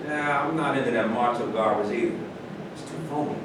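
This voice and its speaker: A man's voice: brisk, natural voice. A man in a staged reading, playing a brash, dismissive former football star who talks in colloquial phrases. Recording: echoey room, distant mic, noisy rumble.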